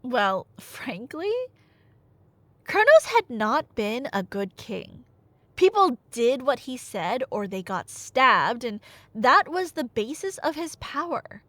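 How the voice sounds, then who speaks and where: A woman's voice: sits high, pushed and bright, no room sound. A woman telling a story in a casual, rambling ASMR style, playful and slangy with wry asides. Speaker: storyteller playing the listener's nerdy girlfriend.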